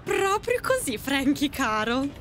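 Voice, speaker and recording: Una donna che fa una dama fantasma, voce acutissima. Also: female voice, high voice, very animated, slow pace, a bit bright, soft background music, full character voice. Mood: knowing. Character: genteel female ghost, dramatic storyteller on an afterlife train. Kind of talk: audio drama acting.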